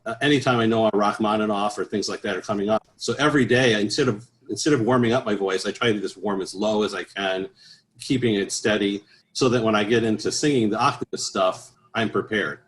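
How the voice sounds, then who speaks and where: Male guest, low speaking voice, casual and halting, plenty of restarts and filler sounds. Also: low-quality recording. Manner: easygoing, many restarts and "uh"s, chuckles at his own stories. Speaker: choral bass singer, guest. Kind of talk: relaxed interview answers.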